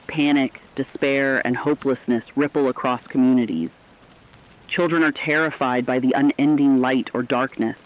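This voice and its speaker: A man playing a sombre radio correspondent, giving a scripted disaster report in a serious, formal, measured delivery. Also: medium pitch, highs cut off, lightly acted. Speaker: news correspondent.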